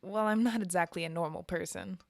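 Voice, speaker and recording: A woman's voice: fairly low pitch, brisk, creaky, no echo, clean studio sound. A woman playing a guarded, self-doubting young trainee in an audio drama, hesitant and downbeat in naturalistic dialogue. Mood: uncertain, searching. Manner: hesitant, downbeat, clipped answers.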